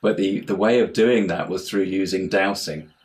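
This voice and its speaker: A man's voice: deep voice, moderate pace. A man talking as an interview guest over an online call, explaining his ideas in a hesitant, digressive way, often repeating words. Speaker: interview guest, house healer and life coach.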